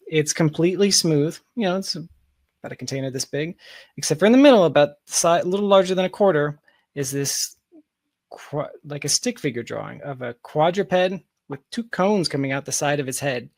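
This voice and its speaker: A man chatting casually as a podcast guest, telling anecdotes in an animated manner over a close mic. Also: on the high side, normal speed, no room sound.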